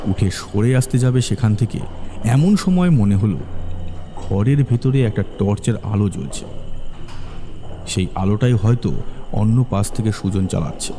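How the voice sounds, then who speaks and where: Low, dark-voiced male horror storyteller. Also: lively intonation, unhurried, long pauses, a little hiss or hum. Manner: suspenseful. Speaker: storyteller, narrator. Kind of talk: dramatic horror story narration. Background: faint background music.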